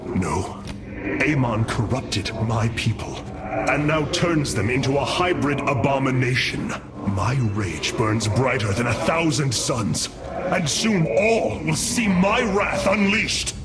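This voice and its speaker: An actor playing a noble, resolute alien warrior leader, solemn and formal, in a dramatic game cutscene. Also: male voice, mid-range voice, animated, takes it slowly. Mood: righteous fury. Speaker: military commander. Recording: loud music behind the voice.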